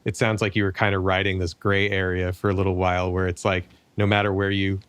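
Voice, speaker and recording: Low-voiced male podcast host, dry sound. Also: quick pace, clear, resonant tone, dry recording. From United States. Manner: relaxed, conversational, a few restarts. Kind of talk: casual interview.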